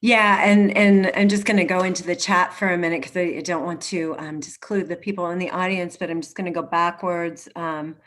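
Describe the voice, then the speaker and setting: A woman hosting an online discussion in a casual, reflective way, haltingly repeating phrases as she thinks, on a video call. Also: on the low side, steady tone, a bit bright. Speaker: host of an online discussion after a play reading. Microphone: video call microphone.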